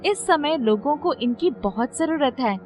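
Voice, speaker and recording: A woman's voice: high voice, expressive, measured pace, pitched above the performer's own voice. A woman voicing an earnest, hopeful teenage daughter in a storybook tale, eagerly explaining herself. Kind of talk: storybook character voice.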